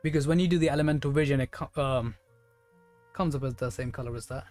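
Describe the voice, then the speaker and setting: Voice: mid-range voice, fast, a little mellow. A game streamer giving casual live commentary, thinking aloud and speculating in a musing way. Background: game music.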